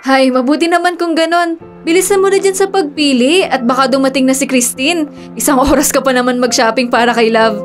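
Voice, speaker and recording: A woman's voice: high-pitched, a little fast, faint music behind, lightly acted. A woman acting in a radio drama as a teasing, impatient friend with a wry manner.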